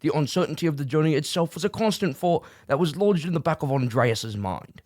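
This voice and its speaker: A man's voice: on the high side, animated, a little fast, darkish tone, dry recording, clean studio sound. A man narrating a scripted fantasy audio drama as a storyteller. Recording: prominent music bed behind.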